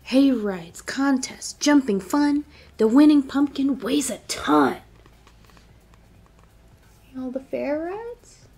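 A young woman with a high voice reads a picture book aloud for small children. She takes it very slowly and speaks straight to her listeners in an encouraging way, like a librarian at storytime. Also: expressive, darkish tone. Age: young adult. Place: indoor library room with bookshelves.

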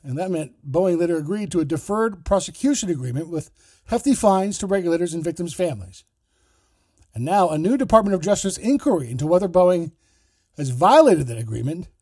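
Man, news narration, measured, husky, dry studio sound. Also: high-pitched, sing-song, warm tone, dry recording. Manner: measured, explanatory delivery. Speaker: podcast host and reporter.

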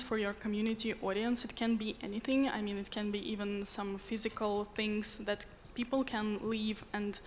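A woman speaking as a panelist on a conference stage, thoughtful and a little searching for words, into a handheld mic. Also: mid-range voice. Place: large conference hall stage. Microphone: handheld microphone. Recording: faint hall reverb, light room noise.

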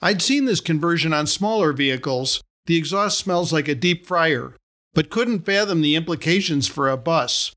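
A middle-aged man reading his own story aloud as a podcast host, in a measured, wry storytelling manner. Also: a little high, expressive, a bit bright. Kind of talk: scripted storytelling narration.

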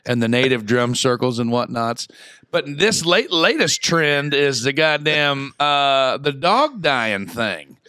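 A man co-hosting a relaxed podcast, rambling through stories with excitable energy. His pitch is ordinary for a man. The recording is close and dry. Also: slow, smooth, dry recording. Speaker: podcast co-host. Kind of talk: casual chat.

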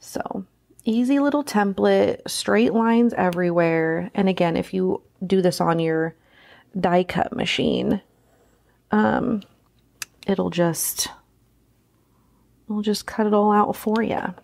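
A woman's voice: mid-range voice, slow, vocal fry, long pauses, darkish tone. A woman hosting a casual hands-on craft tutorial, chatting informally and thinking aloud as she works.

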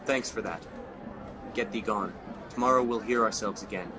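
A man's voice: fairly low pitch, normal speed, crowd noise, lightly acted. Casting: man as a guilt-haunted king, theatrical, commanding, over a noisy backdrop. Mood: cold. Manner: theatrical, swings from host to horror. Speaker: actor. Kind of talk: amateur radio drama.

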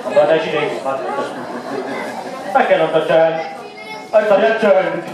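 A man's voice: fairly high pitch, expressive, a little slow. Clowning man in echoey outdoor show. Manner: clowning, loud and exclaiming. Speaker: entertainer. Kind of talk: live comic stage show for children. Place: outdoor holiday camp stage. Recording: echoey, far from mic, with crowd noise.

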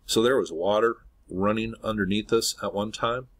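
A man's voice: lowish voice, moderate pace. A man narrating his own screen recording in an earnest, speculative way, leaning often on "obviously". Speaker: video host.

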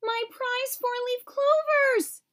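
A woman's voice: very high-pitched, steady tone, takes it slowly, a bit bright, pitched above the performer's own voice, full character voice. A woman voicing a fussy, easily exasperated man in a storybook, speaking in a precise, exclamatory way. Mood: exasperated shouting. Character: fussy, easily exasperated man who loves even numbers. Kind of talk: storybook character voice.

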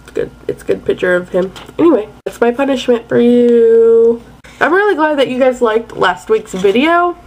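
A woman's voice: on the high side, animated, normal speed, a little mellow. A young woman chatting casually and playfully to her webcam in a bedroom at night. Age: young adult. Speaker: vlogger. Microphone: webcam at close range.

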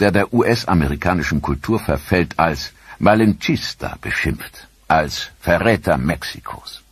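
A man narrating a documentary in a dramatic, expressive scripted manner with rhetorical questions. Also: low voice, breathy edge, no room sound.

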